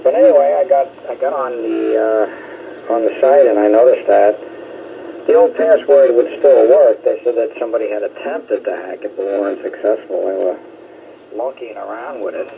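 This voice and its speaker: A man's voice: on the low side, normal speed, gravelly. A middle-aged man chatting in a folksy, rambling way over single-sideband amateur radio. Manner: folksy, colloquial. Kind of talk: rambling casual chat. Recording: muffled shortwave radio with static.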